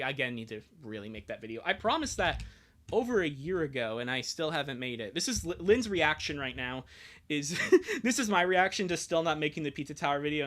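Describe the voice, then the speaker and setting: Bright, higher-voiced young man streaming. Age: young adult. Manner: rambling, self-deprecating, profane. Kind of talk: casual livestream chat.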